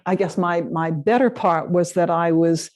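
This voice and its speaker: A woman's voice: lowish voice, moderate pace, darkish tone. A woman presenting a local history talk and taking audience questions. She speaks conversationally, often agreeing with "yeah" and restarting sentences. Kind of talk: lecture and audience Q&A. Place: meeting room with a live audience.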